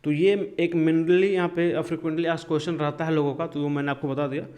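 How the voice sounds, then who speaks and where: A man's voice: a little high, little pitch movement, normal speed, darkish tone. A man from India giving a casual step-by-step tutorial in a conversational, explanatory way, often checking in with the listener. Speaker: tech tutorial video host.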